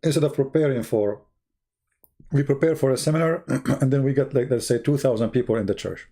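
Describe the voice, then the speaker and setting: A man who speaks English as a second language, chatting casually and telling stories on a live stream in a halting, rambling way, often clearing his throat. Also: medium pitch, warm tone. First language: not English.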